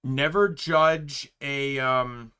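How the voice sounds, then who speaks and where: Young man, streamer, loud voice, rambling, bright tone. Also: medium pitch, fairly even intonation, very slow, smooth. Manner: rambling, many fillers and restarts, emphatic. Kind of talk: casual rambling stream chat.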